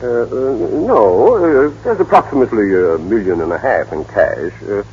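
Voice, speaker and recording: An actor playing a scheming big-city lawyer with a hemming, patronizing manner in old-time radio drama. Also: male voice, lowish voice, expressive, normal speed, breathy edge. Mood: persuasive. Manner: hemming, patronizing, formal address. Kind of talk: 1930s-40s radio drama acting. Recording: dull, noisy old radio broadcast.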